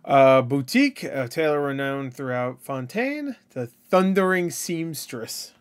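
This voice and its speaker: A man's voice: mid-range voice, expressive, measured pace, noisy background. A man in his thirties giving off-the-cuff reaction commentary, rambling and joking with crude slang. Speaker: reaction video host. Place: small indoor room at home.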